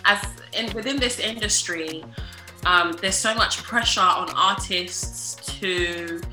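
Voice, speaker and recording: A woman's voice: medium pitch, slow pace, bright, forceful tone, reverberant, faint music behind. A woman musician answering interview questions in a chatty, casual way with many fillers. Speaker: musician guest.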